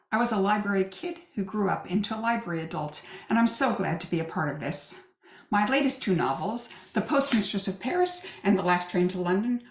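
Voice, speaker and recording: A woman from the United States reads a scripted author introduction in a polished, rehearsed way. Her voice sits mid-range and rings in an echoey room. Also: medium pitch. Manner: polished, well-rehearsed delivery. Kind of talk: scripted promotional reading.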